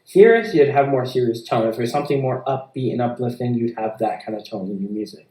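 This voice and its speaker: A young American man giving informal, somewhat halting answers as a student being interviewed. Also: medium pitch, dark tone. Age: young adult. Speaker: interviewee, student filmmaker and musician. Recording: echoey room, distant mic.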